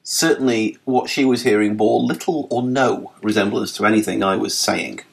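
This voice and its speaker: A man narrating a written article for a podcast, reading with a lively, articulate delivery and dry wit. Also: lowish voice, normal speed, vocal fry, low-quality recording.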